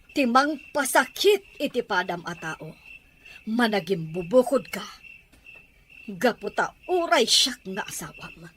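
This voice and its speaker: A woman's voice: mid-range voice, a bit bright, slight background noise. A woman with an Ilocano accent playing an anguished, middle-aged battered wife and fearful, pleading mother in an audio drama. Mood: anguished, defiant. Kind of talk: Ilocano radio-style drama acting.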